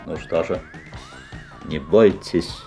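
A man's voice: sits low, takes it slowly, darkish tone, faint music behind. An actor playing a sly magic-shop salesman with courteous, insinuating, theatrical patter in an audio fairy tale. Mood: smoothly obliging. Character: smooth, sly magic-shop salesman, mocking and eerily obliging. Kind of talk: Soviet-era audio fairy-tale acting.

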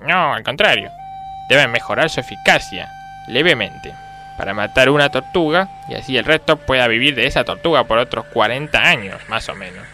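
An actor playing a matter-of-fact, cold-blooded academic expert in a radio parody documentary. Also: male voice, medium pitch, lively intonation, brisk, bright, forceful tone, faint music behind. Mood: pragmatic.

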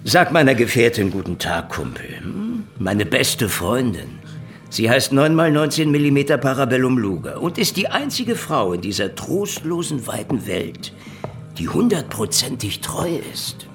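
An actor playing a cynical, sardonic, world-weary private detective in a radio play. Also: male voice, mid-range voice, big pitch swings, a little slow. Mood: taunting, sardonic. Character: cynical, hard-boiled male private detective, tough and sardonic. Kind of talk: hard-boiled radio play narration and dialogue. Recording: music behind the voice.

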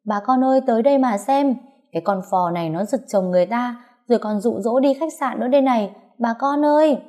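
A woman voicing a brash, furious young wife who shouts accusations in a shrill voice. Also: lively intonation, normal speed, close to an everyday voice. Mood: shrill wailing.

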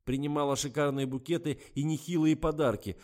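A man voicing a furious, contemptuous young lover who shouts and sneers, in an audiobook reading. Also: mid-range voice, measured pace, voice pushed up, natural voice.

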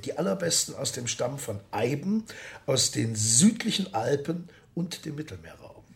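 A man's voice: medium pitch, very animated, moderate pace, vocal fry. A man playing a matter-of-fact expert in a radio drama, explaining precisely and instructively like a documentary narrator. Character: matter-of-fact male expert on medieval weaponry.

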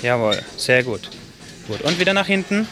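A man's voice: mid-range voice, big pitch swings, moderate pace. A man coaching a workout with short, encouraging instructions as a fitness trainer. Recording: music behind coaching.

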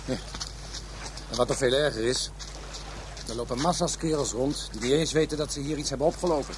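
A man's voice: medium pitch, fairly quick, noisy background, close to an everyday voice. An actor playing a world-weary, knowing Dutch police detective in a radio drama, a man with a matter-of-fact, grim way of explaining things. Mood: resigned.

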